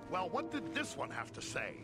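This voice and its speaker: An actor playing a resolute, plainspoken adventurer in a fantasy game, direct and matter-of-fact. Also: male voice, sits high, huge pitch swings, normal speed, over loud music. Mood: businesslike.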